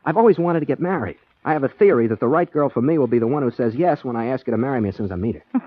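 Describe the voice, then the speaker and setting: An actor playing an easygoing, whimsical private detective, chatting and rambling wryly in a 1940s radio comedy-mystery. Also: male voice, mid-range voice, expressive, rapid-fire, dry recording, lightly acted. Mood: smooth, whimsical. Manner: chatty, rambling, digressive, wry. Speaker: detective hero and narrator. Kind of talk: 1940s radio comedy-mystery acting and first-person narration. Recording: muffled 1940s radio recording with music.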